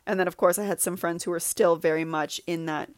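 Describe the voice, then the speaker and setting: A woman's voice: lowish voice, fairly even intonation, a little fast, no echo, clean studio sound. A woman in her thirties hosting a podcast, speaking candidly and confessionally in a reflective personal monologue. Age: 30s. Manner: candid and confessional, with laughs and repetitions. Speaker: podcast host.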